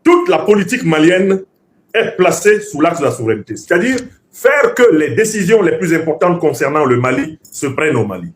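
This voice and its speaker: Man, Bassa speaker, didactic political orator, emphatic, highly varied intonation, slightly high voice. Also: sing-song, fairly quick. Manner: emphatic, professorial, rhetorical repetition. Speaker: political commentator.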